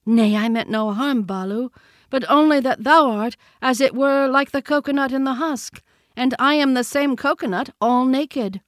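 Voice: high-pitched, moderate pace, no echo, clean studio sound, voice pushed up, lightly acted. A man voicing a cheeky, earnest jungle boy in a storybook, indignantly explaining himself. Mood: earnest, innocent.

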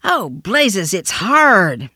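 A narrator voicing a cheeky, whiny young boy in a hard, sharp, immature voice. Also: mid-range voice, very animated, moderate pace, no echo, studio quality, voice pushed up. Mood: annoyed.

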